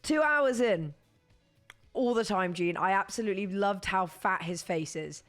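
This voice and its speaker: A young woman with a British accent chatting casually to her stream viewers while hoarse from losing her voice, rambling and laughing often. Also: mid-range voice, unhurried, fairly bright tone, faint music behind.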